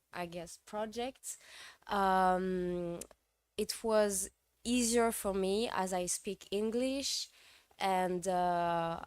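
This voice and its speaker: A woman, a cast member, answering a question at a press conference in a relaxed, measured way with a few light fillers. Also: mid-range voice, clear, resonant tone, no echo.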